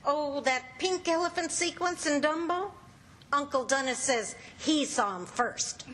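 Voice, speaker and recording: A woman's voice: sits high, expressive, a little slow, a bit bright. A woman playing a patient, teasing housewife with a wry streak in an old-time radio comedy. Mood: teasing, fond.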